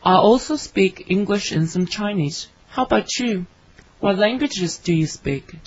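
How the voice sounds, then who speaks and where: A woman's voice: medium pitch, expressive, unhurried, slightly rough. Woman reading a scripted dialogue. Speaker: dialogue reader for English learners. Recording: dry, slightly dull studio recording.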